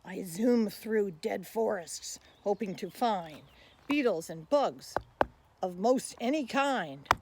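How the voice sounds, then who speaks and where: Lady reading as a proud rare woodpecker in a kids book, dramatic rhymes, swoopy pitch. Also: animated, takes it slowly, darkish tone, a little hiss or hum. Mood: proud, earnest. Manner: dramatic rhyming storybook delivery. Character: proud, rare ivory-billed woodpecker back from extinction.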